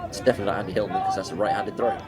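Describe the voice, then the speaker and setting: A man giving live play-by-play commentary on a match, steady and informative as he reads each move. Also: fairly low pitch, very fast, very noisy. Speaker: sports commentator. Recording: loud crowd behind commentary.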